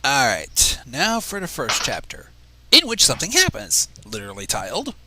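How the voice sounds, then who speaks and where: A man's voice: medium pitch, wildly swooping pitch, normal speed, bright, forceful tone, a little hiss or hum, rough recording. A man reading a story aloud in a dramatic, wry manner, slipping into casual asides between narrated passages. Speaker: livestream fanfic reader.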